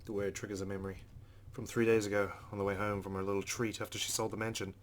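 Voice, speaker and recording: A man's voice: fairly low pitch, little pitch movement, fast talker. A man hosting a let's play, reading visual novel narration aloud and adding casual commentary. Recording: game music behind the voice. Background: game music.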